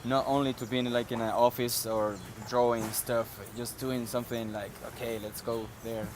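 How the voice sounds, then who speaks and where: A man's voice: medium pitch, level tone, moderate pace, background noise. A man from Venezuela speaking reflectively and a little hesitantly in a casual interview. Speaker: workshop participant. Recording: music playing behind.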